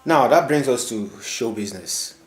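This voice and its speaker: A male host puts questions to his guest in formal, somewhat flowery phrasing. His voice has a middle pitch. It rings in an echoey room. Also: expressive, moderate pace, soft background music. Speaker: interviewer, host.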